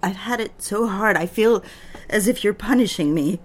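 A woman's voice: medium pitch, moderate pace, close to an everyday voice. A woman playing a needy, defiant, newly married daughter in an audio drama, theatrical and pleading. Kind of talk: dramatic audio fiction with first-person narration.

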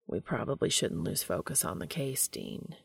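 A woman voicing an earnest male angel with a flat, stiff, deadpan delivery in an audio story. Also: rumbling low, normal speed, gravelly, breathy edge, dry recording, studio quality, pitched below the performer's own voice, full character voice. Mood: flat, restrained.

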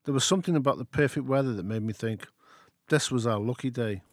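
A man's voice: medium pitch, fast talker, no room sound, natural voice. A man playing a wry grown-up narrator looking back on his boyhood in an amateur audio drama. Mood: reminiscing.

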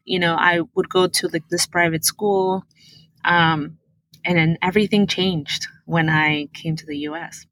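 A woman from Peru, a native Spanish speaker, telling her story as a podcast guest over a remote call. Her voice is low-pitched yet bright and forceful. She comes across as earnest and animated, and the sound is dry and close. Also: a little slow, no room sound, poor audio. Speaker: guest, tech product manager. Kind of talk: interview answers, personal storytelling.

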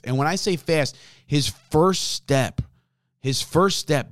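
Animated man, dry close sound. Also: mid-range voice, no echo. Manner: animated, repeats words and asks "right?" often. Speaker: podcast host. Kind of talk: casual sports commentary.

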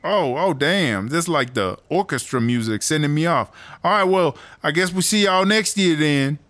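A man's voice: on the high side, lively intonation, normal speed, fairly bright tone. A man playing a sassy, brash, foul-mouthed woman inmate in a comedy audio drama, slangy and emphatic. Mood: grandly grateful.

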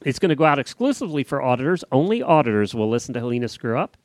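A man's voice: mid-range voice, very animated, very fast, darkish tone, no echo, clean studio sound. A man hosting a podcast, chatting informally and reading out show announcements in a conversational way. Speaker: podcast host. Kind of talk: casual chat and show announcements.

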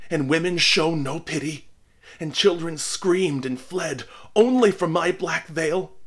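A man's voice: a little high, pushed and bright, pitched above the performer's own voice, heavily acted. A man voicing a dying old male clergyman who speaks in a solemn, archaic way.

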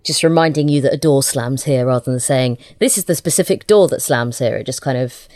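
A woman with a low-pitched voice, recorded very dry. She talks casually as an interview guest. Her manner is informal and a little self-deprecating. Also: a little fast, a little mellow, dry recording. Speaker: writer and illustrator, interview guest.

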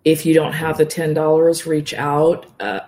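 A woman who teaches yoga nidra, speaking as an interview guest in a calm, soothing and encouraging way. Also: deep voice, moderate pace. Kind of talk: casual interview talk.

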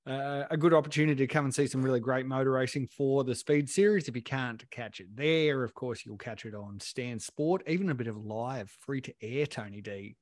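A man's voice: medium pitch, normal speed, hardly any pauses, no echo, studio quality. A man with an Australian accent hosting a podcast, upbeat and teasing his co-host. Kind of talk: casual chat.

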